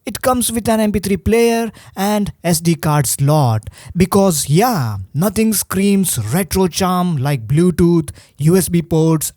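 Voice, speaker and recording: A presenter reads a scripted review at an unhurried pace. The voice is mellow and soft-edged, recorded dry and close. The delivery is wry, with rhetorical turns. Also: mid-range voice, no echo. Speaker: presenter of a radio review video. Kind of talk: scripted narration.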